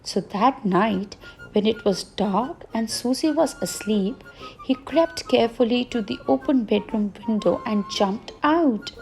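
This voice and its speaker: A woman telling a bedtime story in a gentle, unhurried reading voice over soft background music. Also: fairly high pitch, animated, creaky, poor audio.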